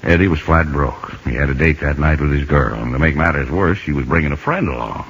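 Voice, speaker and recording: A man's voice: very deep voice, fairly quick, a bit bright, slightly rough, exaggerated voice. An actor playing a folksy older baseball scout, telling his young friend's story as narrator of a radio drama. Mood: wry, folksy. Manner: folksy, colloquial. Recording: muffled 1950 radio broadcast with music.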